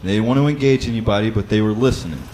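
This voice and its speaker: A man with a loud voice who leads a Bible study, explaining practical advice in a conversational way with frequent fillers and restarts. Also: lowish voice, very fast, a little hiss or hum. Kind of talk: instructional talk.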